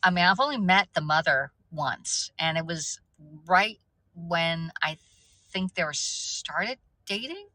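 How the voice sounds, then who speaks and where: Chatty woman in her fifties, bright. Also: fairly low pitch, animated, takes it slowly, dry recording. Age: 50s. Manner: rambling, chatty, talks to viewers by name. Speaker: livestream host. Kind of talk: casual livestream chat.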